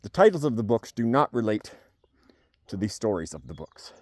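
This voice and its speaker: A man's voice: fairly low pitch, very animated, normal speed, dry recording. A man talking casually and spontaneously to camera in a rambling, wry, jokey way, like a vlogger giving a review. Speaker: video blogger giving a book review. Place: on a farm among cows.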